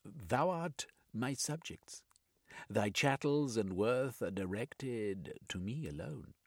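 Old undersea king, slow and stately, archaic talk, storyteller doing the voice, husky. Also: medium pitch, animated, no room sound, clean studio sound, voice pushed up. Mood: imperious. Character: old male underwater king, lonely and imperious.

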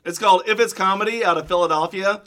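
Middle aged guy joking around, really high bright voice, super theatrical. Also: fast talker. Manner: theatrical, bursts into song and put-on voices. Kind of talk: casual comic chat.

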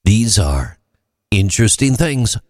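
A man hosting a short story program, reading scripted narration in a measured, dramatic storytelling manner. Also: on the low side, sing-song, no room sound, studio quality.

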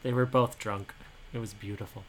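A man in his twenties with a fairly high, boyish voice, co-hosting a casual podcast and talking candidly in a halting, soft-spoken way. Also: fairly even intonation, crowd noise, low-quality recording. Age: 20s. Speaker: podcast co-host.

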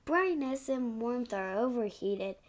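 A woman's voice: on the high side, lively intonation, slow pace. A woman co-hosting a casual chat about comic strips, explaining things simply and literally, often saying "like". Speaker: podcast co-host.

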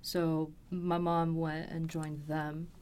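A woman in a fairly low, very even voice. She tells a personal story as an interview guest, casually and with some hesitation, finding her words as she goes. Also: takes it slowly, a little hiss or hum. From Los Angeles, USA. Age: 20s. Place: recording studio.

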